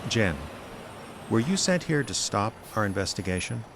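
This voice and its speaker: A wry, world-weary male private eye tells his story in a very low voice. The delivery is dry and conversational, in the style of first-person detective radio drama. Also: big pitch swings, moderate pace, background noise. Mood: mild, probing.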